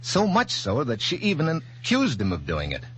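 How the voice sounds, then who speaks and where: A man playing a somber, compassionate blind police captain in measured, subdued 1940s radio drama style. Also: mid-range voice, huge pitch swings, a bit bright, slight background noise. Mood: somber, reflective. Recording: dull, faintly humming old radio recording.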